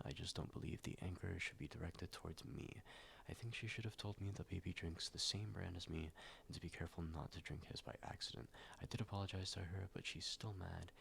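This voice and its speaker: A young man, stuffed up and a little nasal after a cold, reading forum posts in a soft-spoken ASMR style, with many ums and slips into whispers. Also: low voice, creaky. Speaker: ASMR YouTuber.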